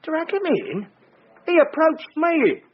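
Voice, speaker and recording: A man's voice: extremely high pitch, sing-song, takes it slowly, lo-fi sound, exaggerated voice. An actor playing a defensive, sneering British man under police questioning in a radio drama. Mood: defensive, protesting.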